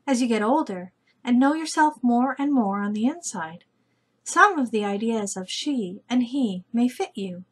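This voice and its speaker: Slow-reading woman with a husky voice. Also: a little high. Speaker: storyteller reading a children's book aloud. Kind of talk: children's picture book read-aloud.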